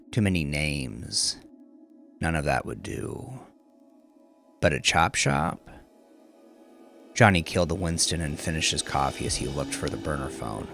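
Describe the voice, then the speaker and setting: A man narrating crime fiction in a measured, dramatic storytelling manner. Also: very deep voice, vocal fry, a bit bright. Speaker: audiobook narrator.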